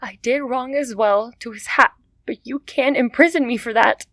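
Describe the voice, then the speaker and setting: A woman's voice: on the high side, normal speed, a bit bright, no room sound, clean studio sound. An actress playing a desperate young mother in an audio drama, pleading and tearful. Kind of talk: radio drama acting.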